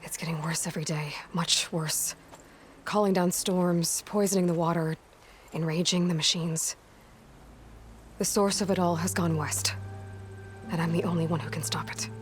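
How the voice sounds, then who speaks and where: A woman's voice: fairly low pitch, steady tone, normal speed, pauses often, lightly acted. A woman playing a determined, blunt young huntress in a video game, talking directly and earnestly. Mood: grave. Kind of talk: video game dramatic acting. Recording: background music under the voice.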